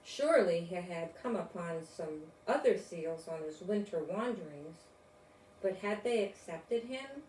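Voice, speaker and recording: An older woman reading a children's storybook aloud in a deliberate, expressive way, with informal asides. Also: on the low side. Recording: roomy, distant mic, faint noise.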